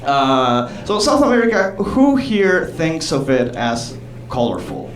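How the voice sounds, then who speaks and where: A man from Chile performs live stand-up comedy, talking conversationally with pauses and bursts of exclamation. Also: a little high, huge pitch swings. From southern Chile. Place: small comedy theater stage, indoors. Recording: roomy live club sound, distant, audience. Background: audience laughter.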